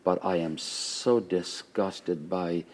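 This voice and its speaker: A man with a very low, loud voice, a pastor well into middle age. He talks with fervent conviction, leaning on his words and repeating them to drive a point home. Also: steady tone, slow. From Canada. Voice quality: loud voice by nature. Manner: fervent, emphatic, repeats phrases for stress. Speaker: pastor hosting a conversation. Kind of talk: earnest conversational interview.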